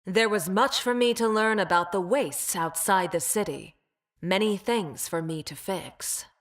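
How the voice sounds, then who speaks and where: A woman acts out a cold, cat-like female bioweapon from a video game. She speaks slowly at a medium pitch, with measured, exact diction. Her manner is formal, clinical and superior, detached from those around her. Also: close to an everyday voice. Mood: stern, formal. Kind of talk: video game character voice lines.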